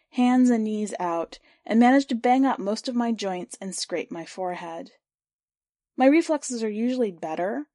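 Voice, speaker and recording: A woman reading a first-person literary short story aloud for a podcast, in a measured, clear storytelling voice. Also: medium pitch, darkish tone, no echo, studio quality. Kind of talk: scripted narration of literary fiction.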